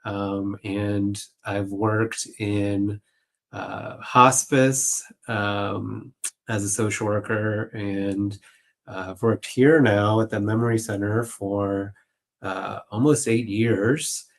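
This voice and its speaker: A man's voice: lowish voice, steady tone, slow, gravelly. A man answering interview questions as a guest, conversational, reflective and a little hesitant. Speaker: guest clinical social worker.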